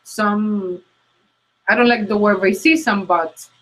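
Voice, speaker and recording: A woman's voice: mid-range voice, fairly even intonation, slow, rough recording. A woman from Puerto Rico whose first language is Spanish, speaking English with a thick Puerto Rican accent, talking earnestly as an interview guest with frequent ums and restarts. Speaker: guest coach. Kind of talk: interview conversation. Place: New York.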